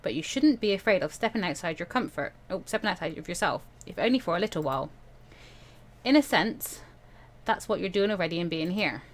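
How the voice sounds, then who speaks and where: A woman voicing a calm, stern older male mentor in a visual novel, measured and gently admonishing. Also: medium pitch, voice pushed down, lightly acted. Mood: gently urging.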